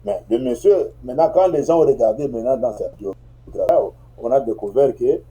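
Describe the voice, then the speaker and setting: A man's voice: mid-range voice, normal speed, dark tone, lo-fi sound. A man, a guest on a political talk show, explaining at length and often checking that he is understood. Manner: explanatory, repeats phrases, checks in with "tu comprends?". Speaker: guest commentator.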